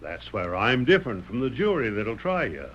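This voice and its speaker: An actor playing a stern, manipulative sheriff in an old radio western, speaking in a blunt, insistent, folksy way. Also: male voice, fairly low pitch, sing-song, normal speed, fairly bright tone, highs cut off, a little hiss or hum. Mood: persuasive, secretive.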